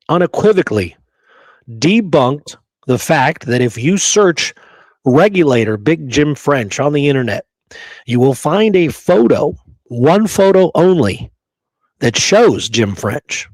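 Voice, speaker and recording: A man's voice: fairly high pitch, dry recording, rough recording. Emphatic male co-host, dry close sound. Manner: emphatic, with drawn-out key phrases and rhetorical questions. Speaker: history show co-host. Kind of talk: conversational debunking discussion of an old photograph.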